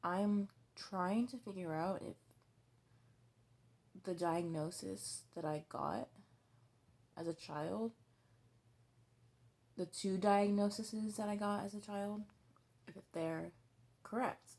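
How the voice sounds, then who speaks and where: Slow, gravelly-voiced young woman. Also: medium pitch, darkish tone. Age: 20s. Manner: halting, hesitant, with many restarts and fillers; blunt and candid, swearing freely. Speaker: vlogger. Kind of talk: personal confessional vlog.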